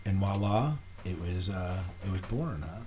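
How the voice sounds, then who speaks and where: A man's voice: deep voice, measured pace, darkish tone, a little hiss or hum. A man hosting a podcast, asking his guest questions in a casual, chatty style. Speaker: podcast host, interviewer. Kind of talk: casual interview chat.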